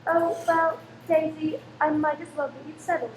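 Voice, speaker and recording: Woman acting nervous, high-voiced mother. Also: little pitch movement, normal speed, lightly acted. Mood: flustered. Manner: hesitant, nervous, appeasing. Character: anxious, flustered, protective mother and landlady. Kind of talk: radio play acting. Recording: echoey room with faint noise.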